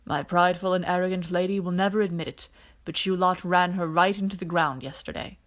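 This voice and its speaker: A woman voicing a weary, dryly teasing alien man in an audiobook, with a measured, quiet delivery. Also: on the low side, fairly even intonation, lightly acted. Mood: weary, wry. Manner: dry, measured, quiet explanations.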